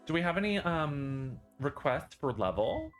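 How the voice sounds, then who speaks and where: Lively male streamer over music. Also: a little high, measured pace. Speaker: game streamer. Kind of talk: casual group gaming chat. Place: voice over gameplay with music behind. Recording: clear music behind the voice.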